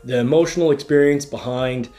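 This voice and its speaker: A man's voice: mid-range voice, a little fast, dark tone, soft background music. A man with a congested, stuffy nose giving a casual, explanatory car review from inside the vehicle, often restarting his sentences. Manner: explanatory, restarts with "you know". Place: inside a car, partly driving.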